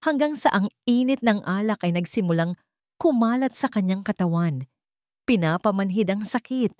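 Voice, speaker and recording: A woman narrating scripted dramatic fiction in a measured, suspenseful way, with deliberate pauses. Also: mid-range voice, lively intonation, a little mellow, no room sound, studio quality. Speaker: story narrator.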